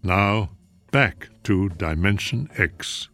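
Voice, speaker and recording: An actor working as a radio show announcer, giving short formal links into the programme. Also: male voice, deep voice, expressive, slow, music in the background, heavily acted. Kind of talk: slow announcer bumper.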